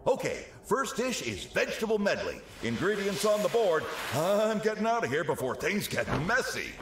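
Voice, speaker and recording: A man voicing a showy, excitable TV cooking show host in a cartoonish video game, announcing with theatrical drama. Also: high-pitched, moderate pace. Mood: excited announcing. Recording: roomy echo with background noise.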